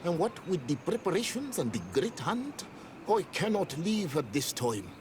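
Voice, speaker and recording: A wary acolyte of a sacred village in a video game. His voice sits a little high and he speaks formally and earnestly, on guard against strangers. Clear ambient noise runs behind him. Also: sing-song, moderate pace. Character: wary male village acolyte, distrustful of outsiders. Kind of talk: video game cutscene acting.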